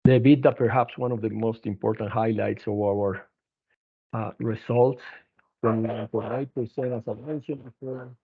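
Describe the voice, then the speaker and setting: A man presenting company financial results as an executive on an earnings conference call, speaking haltingly with frequent restarts. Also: fairly low pitch, fairly even intonation, slightly rough. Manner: halting, hesitant delivery with repeated restarts. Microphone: telephone conference line. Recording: narrow, tinny phone-line conference call.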